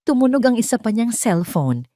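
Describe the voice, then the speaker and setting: A woman reading a scripted story as narrator, with a measured, dramatic delivery. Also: a little high, big pitch swings, mellow, dry recording, clean studio sound.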